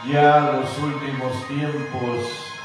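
A man from Guatemala preaching a sermon and sending greetings to listeners in a slow, drawn-out cadence. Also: medium pitch, little pitch movement. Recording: echoey, distant, with music behind. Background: instrumental music.

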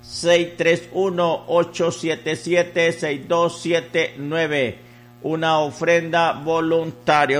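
Higher-voiced man making a repetitive radio appeal. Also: fairly bright tone. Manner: repetitive, chanting urging, reciting phone numbers. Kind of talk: live radio fundraising appeal.

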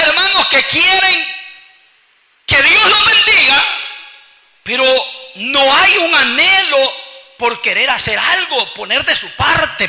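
A Salvadoran-accented man preaches with fervor, turning again and again to his listeners as brothers. His voice sits very high and cuts through with a sharp brightness. A long reverb from a big hall trails behind every phrase. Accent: Salvadoran Spanish. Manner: fervent preaching, keeps addressing the congregation as brothers. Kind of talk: sermon.

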